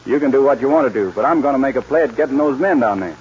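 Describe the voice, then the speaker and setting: Young American cowboy hero, bold, folksy, vintage radio western with dull lo-fi sound. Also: male voice, mid-range voice, lively intonation, very fast, a little mellow, slightly rough. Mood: bold, determined. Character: bold, resolute young cowboy ranch hand. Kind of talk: 1940s radio western acting. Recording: muffled, hissy 1940s radio recording.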